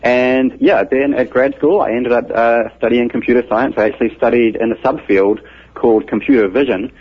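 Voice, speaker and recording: Man, New Zealand accent, husky voice, patient methodical explainer, muffled radio sound. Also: mid-range voice, steady tone, moderate pace. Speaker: guest author, computer scientist. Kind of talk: explanatory interview answers. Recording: muffled radio broadcast audio.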